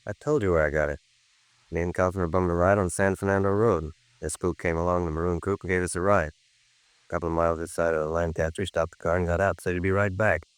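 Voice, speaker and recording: Man acting a low, fast-talking evasive ex-con. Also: steady tone, clean tone, no echo, close to an everyday voice. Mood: defensive. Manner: defensive, slangy, evasive. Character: evasive, grumbling ex-convict crime suspect in his thirties. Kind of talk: 1950s radio crime drama acting.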